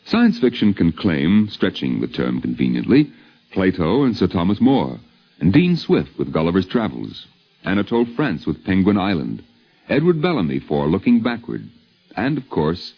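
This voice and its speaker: A man's voice: deep voice, very animated, moderate pace, vocal fry, breathy edge, dull audio. A man reading scripted radio documentary narration in a literate, formal, theatrical way, on a 1950s radio recording.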